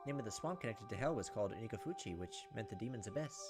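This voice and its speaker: A man's voice: fairly low pitch, animated, rapid-fire, over music. A man hosting a gaming video, reading a visual novel aloud as dramatic storytelling and breaking off for casual, off-the-cuff asides.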